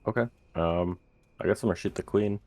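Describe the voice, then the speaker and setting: A man's voice: sits low, brisk, darkish tone. A man playing a tabletop roleplaying game, talking casually in a hesitant, questioning way.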